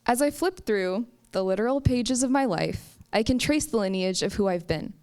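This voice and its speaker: A young woman from the United States telling a personal story at a live campus story slam, with slow, emphatic phrasing. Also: on the high side, animated, darkish tone, clear, resonant tone. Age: young adult. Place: stage at a campus story event.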